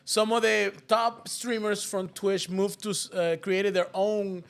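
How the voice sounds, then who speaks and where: A man with a high voice leading a casual podcast. He sounds upbeat as he brings in a guest and lays out the week's topic. He talks in a loose, conversational way. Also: level tone, slow, creaky, a bit bright. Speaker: podcast host. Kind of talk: casual chat.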